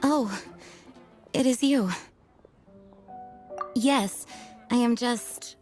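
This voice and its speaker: A woman voicing a dutiful young knight commander who speaks in a formal, measured, sincere way. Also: fairly high pitch, a little mellow, soft background music. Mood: earnest. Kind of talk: video game voice acting.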